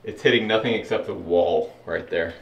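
A man's voice: low-pitched, moderate pace, gravelly, reverberant, muffled recording, slight background noise. A man in his thirties giving a casual, joking walkthrough vlog of an old stone house, recorded on a camera mic. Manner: upbeat, joking, wry. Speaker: vlogger.

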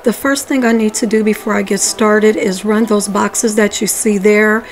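A woman's voice: medium pitch, fairly even intonation, moderate pace. A woman in her thirties narrating her home cleaning in a casual voice-over, walking through each step with frequent ums. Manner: chatty, explains her steps with frequent ums. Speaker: cleaning vlogger. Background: faint background music.

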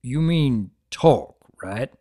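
Rustic male farm pony acted by a man: kind, folksy, plain-spoken, medium pitch, for a cartoon fan story. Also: lively intonation, drawn-out words, mellow. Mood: shaken.

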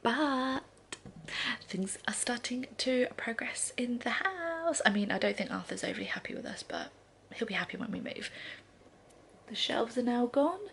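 A woman in her thirties chatting to camera in a soft, often half-whispered way on a living room sofa, a little congested. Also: a little high, expressive, moderate pace, gravelly, slight background noise. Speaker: vlogger. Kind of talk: casual morning chat to camera.

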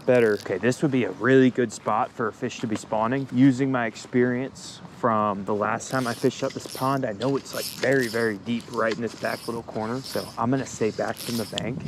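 A man hosting a fishing vlog outdoors, talking casually and informally to viewers with mild slang. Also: medium pitch. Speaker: fishing video host. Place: outdoors by a pond. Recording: noisy outdoor ambience.

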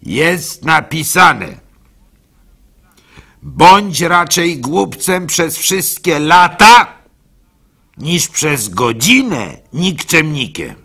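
A man voicing a solemn, wise rabbi who gives counsel in a slow, emphatic, declaiming manner for a radio play. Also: a little high, sing-song, gravelly, bright, forceful tone, slightly rough, pitched above the performer's own voice, exaggerated voice.

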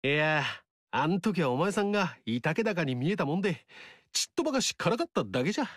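An actor playing a cheeky, folksy upstart warlord in a video game, speaking in a rustic dialect. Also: male voice, fairly high pitch, very animated, quick pace, fairly bright tone, no room sound. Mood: teasing. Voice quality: rustic dialect speech, teasing. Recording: dry studio recording.

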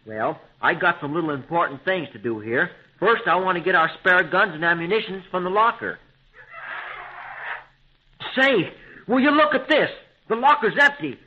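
A man's voice: sits high, animated, normal speed. An actor playing a plucky, determined young male adventurer, eager and slangy, in a 1930s radio serial. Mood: alarmed. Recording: dull, lo-fi 1930s radio recording.

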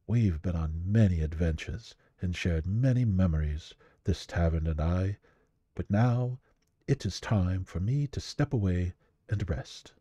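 A man's voice: low-pitched, lively intonation, unhurried, dark tone, husky, dry recording, full character voice. A man playing a weary, kindly old tavern keeper, reading his letter in a solemn, formal, reassuring way for a fantasy audio roleplay.

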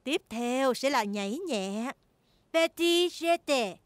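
A woman's voice: sits high, animated, slow pace, over loud music. A woman voicing an elegant lady gazelle who teaches ballet in a cartoon dub, with a theatrical, instructing delivery.

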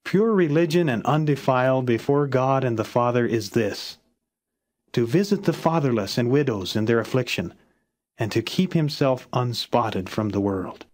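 A man narrates scripture in a middle-pitched voice. His delivery is solemn and measured, with formal phrasing, read straight without dramatization. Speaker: Bible reader, narrator. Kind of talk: non-dramatized scripture audiobook reading.

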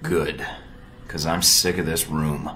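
Low-voiced cocky secret agent. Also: male voice, level tone, slow pace, sharp tone, full character voice. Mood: dry, impatient. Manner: terse, dry, smug. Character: cocky young male secret agent, dry, impatient. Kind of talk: video game cutscene acting. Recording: roomy echo with music behind.

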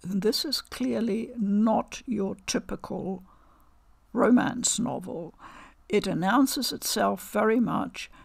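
An older woman, in her sixties or beyond, giving a measured, reflective video review in a conversational way, choosing her words carefully. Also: mid-range voice, gravelly, breathy edge. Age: 60s or older. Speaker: game reviewer, video host. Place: living room, indoors.

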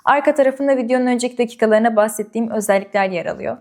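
Lady doing an unboxing review, highish voice, walks you through it step by step. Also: rapid-fire, noisy background. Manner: clear step-by-step explaining, addressing viewers directly. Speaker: product reviewer and presenter. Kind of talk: product review and unboxing demonstration.

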